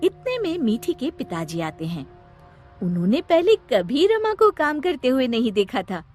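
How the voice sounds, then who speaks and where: A woman narrates a children's story in a high voice over faint music. Her scripted delivery is unhurried and dramatic, with very expressive, animated intonation.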